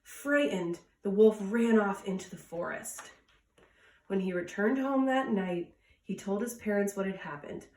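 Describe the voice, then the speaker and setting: Female storytime reader, mid-pitched, children's read-aloud, echoey room, distant mic. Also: unhurried, darkish tone. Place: indoors at home. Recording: roomy echo, distant from mic.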